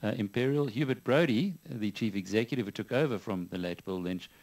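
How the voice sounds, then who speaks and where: A man's voice: lowish voice, a little fast, vocal fry, darkish tone. A man interviewing a business guest, asking probing questions with a wry, conversational touch.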